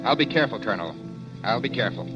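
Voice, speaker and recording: An actor playing a brisk, impatient young newspaperman in 1940s radio drama style. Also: medium pitch, expressive, dull audio, loud music behind the voice, natural voice. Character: brash young American newspaperman.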